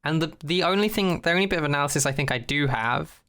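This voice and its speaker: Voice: medium pitch, expressive, fast talker, fairly bright tone. A podcast host, chatting in a rambling, jokey, sweary recap and mockingly acting out quotes. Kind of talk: casual rambling recap chat.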